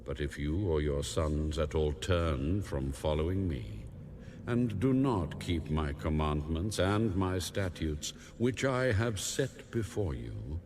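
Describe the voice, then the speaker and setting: A man playing God in a dramatized Bible reading, solemn and commanding, speaking in a grave, measured way. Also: extremely low pitch, expressive, background noise, exaggerated voice. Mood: stern warning. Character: God, solemn commanding male deity giving a warning. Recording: music under the voice. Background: music.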